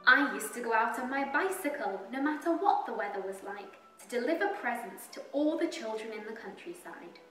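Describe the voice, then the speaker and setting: A woman's voice: fairly high pitch, lively intonation, a little fast, hardly any pauses, a bit bright, far from the mic. A woman voicing a kindly old retired village postman in a children's storybook, with a genial storytelling manner. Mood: reminiscing. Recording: roomy echo, music behind.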